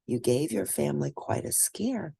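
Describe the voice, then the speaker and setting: Kindly female nurse figure, acted by a woman reader, soothing storybook delivery, slight creak, dry. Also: low voice, sing-song, moderate pace, mellow, dry recording, full character voice. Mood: gently chiding. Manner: tender, comforting.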